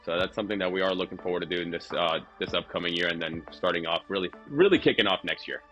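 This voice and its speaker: A man with a Venezuelan Spanish accent and a fairly low voice speaks as a podcast guest. He talks casually and loosely, rambling with plenty of fillers and restarts. Faint music sits underneath him. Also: fast talker, fairly bright tone, highs cut off. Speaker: guest, truck dealership owner.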